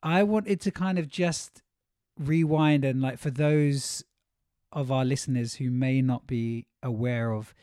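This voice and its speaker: A man's voice: mid-range voice, a little slow, warm tone, clear, resonant tone, no echo, clean studio sound. A man, hosting a podcast in a casual, meandering, informal way. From London, England. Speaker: podcast host. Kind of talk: casual chat.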